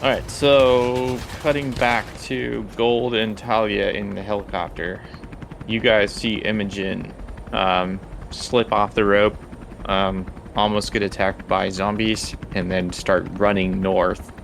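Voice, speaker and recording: A man running a tabletop role-playing game, narrating in a casual, halting way. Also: lowish voice, flat delivery, creaky, fairly bright tone, slight background noise. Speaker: game master.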